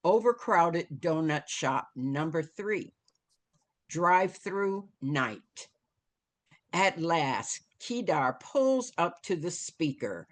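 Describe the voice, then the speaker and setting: A woman with a low voice narrates screenplay directions. She takes her time, phrasing each bit dramatically, and her pitch swings widely. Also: very animated, slow. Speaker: stage-direction reader.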